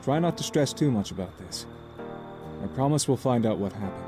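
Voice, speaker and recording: A man acting as a concerned uncle in an anime-style game. His voice sits at a middling pitch, measured and reassuring, with music playing clearly behind him. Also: expressive, close to an everyday voice. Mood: calm concern. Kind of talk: visual novel voice acting.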